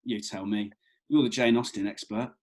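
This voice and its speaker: A man's voice: lowish voice, fairly even intonation, normal speed, darkish tone, natural voice. An actor playing a cheeky, bumbling young British man, colloquial and hesitant, in a read-through of a comedy script. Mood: placating, teasing. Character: easygoing, cheeky, bumbling young bloke at festival.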